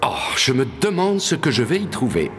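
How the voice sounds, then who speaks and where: A man voicing a cheeky, boastful soldier in an animated fairy tale, with a theatrical, exclamatory and wry delivery. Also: on the high side, moderate pace. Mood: puzzled. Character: cheeky, boastful soldier, comically excitable adventurer. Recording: loud music under the voice.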